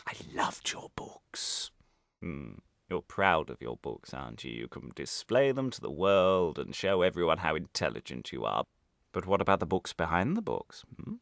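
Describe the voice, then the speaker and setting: A man playing a menacing state interrogator in a radio play, silkily polite, gloating and possessive, teasing with drawn-out pauses and questioning hums. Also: lowish voice, gravelly, fairly bright tone, dry recording, studio quality, full character voice. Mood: insinuating.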